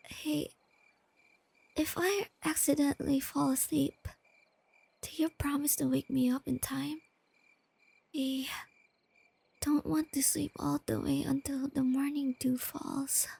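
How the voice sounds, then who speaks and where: A woman playing a shy, nerdy, sweet young girlfriend in a soft-spoken, intimate ASMR roleplay. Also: high voice, gravelly, heavily acted. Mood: sleepy, tender. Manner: gentle, intimate, enthusiastic about explaining facts.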